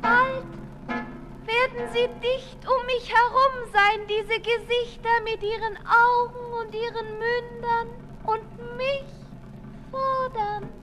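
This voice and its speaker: A woman's voice: extremely high pitch, level tone, slow pace, rough recording. An actress playing a dazed, brooding young woman assassin in a 1960s radio drama, speaking in incantatory, declamatory verse. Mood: haunted. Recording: 1965 radio play with music underneath.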